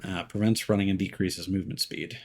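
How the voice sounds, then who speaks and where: A man's voice: deep voice, fairly even intonation, a little fast. A man streaming a video game, giving casual live commentary and thinking aloud as he plays.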